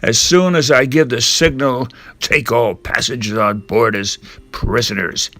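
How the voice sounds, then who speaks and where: A man's voice: medium pitch, measured pace, sharp tone. An older man voicing a scheming pirate ship's cook who gives orders in a storybook reading. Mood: plotting, commanding.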